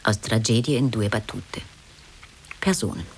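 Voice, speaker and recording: A man's voice: lowish voice, moderate pace, a little hiss or hum. A man narrating a radio play, reading out titles and stage directions in a scripted, theatrical style.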